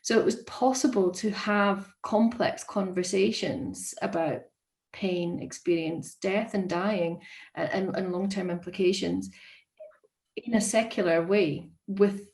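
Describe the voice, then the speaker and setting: A woman's voice: mid-range voice, measured pace, a little mellow. A woman who works as a social worker, joining a webinar panel over a video call and talking in a chatty way with many ums and self-corrections.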